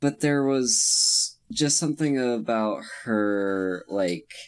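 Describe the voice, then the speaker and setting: A man's voice: medium pitch, animated, slow pace, clean tone. A man co-hosting a casual film podcast, sniffly from a cold, rambling and hesitant as he searches for words. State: getting over a cold, sniffly. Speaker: podcast co-host.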